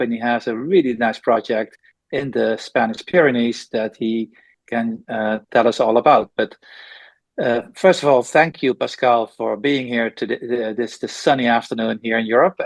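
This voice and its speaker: A man hosting a podcast, welcoming guests in a friendly, slightly halting way. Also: medium pitch, creaky, no echo. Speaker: podcast host.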